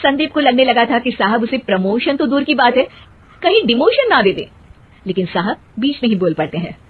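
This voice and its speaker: A woman's voice: on the high side, big pitch swings, a little fast, phone-quality sound, slight background noise. A woman narrating a scripted comic story for a cartoon, as the storyteller between the dialogue.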